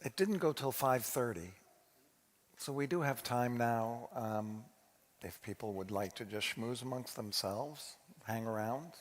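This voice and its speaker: A man's voice: mid-range voice, a little slow. A man speaking informally as a rabbi to his congregation in a large sanctuary. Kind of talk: informal address to a congregation, taking questions. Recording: echoey hall with long reverb.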